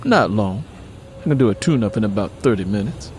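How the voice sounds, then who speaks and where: A man's voice: fairly low pitch, animated, brisk, a little mellow, a little hiss or hum, natural voice. A man voicing a streetwise, sly handyman in an audiobook, casual, slangy and persuasive. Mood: easygoing. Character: streetwise, sly male handyman sizing up a deal.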